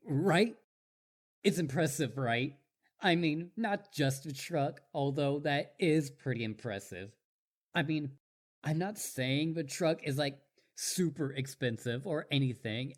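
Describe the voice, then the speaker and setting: An eager young wolf boy, acted by a man, speaks straight to the listener in a roleplay drama. His pitch swings up and down with great animation. He is smitten and full of himself, rambling on and stammering whenever he gets flustered.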